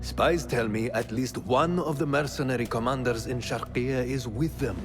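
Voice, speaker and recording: An actor playing a wise, cautious male mentor in a game cutscene, giving measured, grave advice. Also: medium pitch, lightly acted. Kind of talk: video game cutscene acting. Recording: music playing behind.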